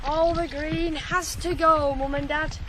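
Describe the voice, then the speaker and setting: Teenage ploughboy, very high voice, headstrong, boastful, radio drama, clearly noisy recording. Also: male voice, little pitch movement, a little slow. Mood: intent, absorbed. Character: cocky, single-minded sixteen-year-old ploughboy.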